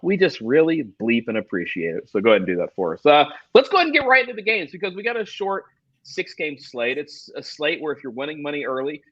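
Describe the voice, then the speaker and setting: A man hosting a sports fantasy-betting show with upbeat, energetic delivery. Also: medium pitch, slightly rough, no echo. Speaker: podcast host. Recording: dull lo-fi sound.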